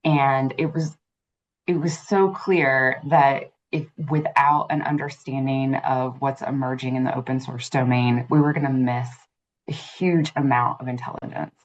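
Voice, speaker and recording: A woman in her thirties answering as an interview guest, earnest, fluent and measured. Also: very deep voice, steady tone. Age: 30s. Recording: muffled lo-fi audio.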